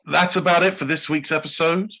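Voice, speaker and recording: Bright-voiced English man reading podcast script. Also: a little high, steady tone, a little fast, creaky. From Bedfordshire, England. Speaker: podcast guest, composer and podcaster. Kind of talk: scripted podcast narration, read in takes.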